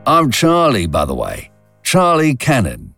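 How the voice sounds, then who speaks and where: A man's voice: mid-range voice, huge pitch swings, measured pace, vocal fry, over music, pitched above the performer's own voice, full character voice. A man voicing a brash young man who talks in a breezy, teasing way. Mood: cocky. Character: brash, cocky young man chatting up a woman.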